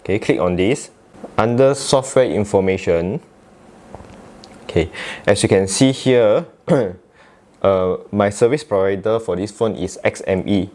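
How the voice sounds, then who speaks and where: Male tech tutorial presenter, Malaysian accent, low-pitched voice, informal instructional delivery that feels its way through each step. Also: unhurried, a little mellow. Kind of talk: casual step-by-step software tutorial.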